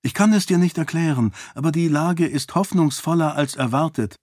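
A man voicing a determined young princess, pitched up. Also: normal speed, darkish tone, no echo, clean studio sound, natural voice. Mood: earnest. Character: determined young princess, calm, stubborn, affectionate.

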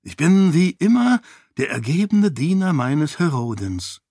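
A man's voice: a little high, sing-song, measured pace, a little mellow, dry recording, studio quality, pitched above the performer's own voice, heavily acted. A man voicing a scheming male servant with a fawning, whispery manner.

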